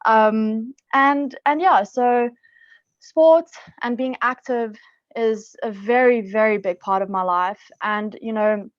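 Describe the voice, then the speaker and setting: A woman's voice: on the high side, takes it slowly, no echo. A woman from South Africa, a psychologist guest on a podcast, talking in a chatty, upbeat way with lots of fillers. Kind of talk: casual interview talk. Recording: dull lo-fi dry sound.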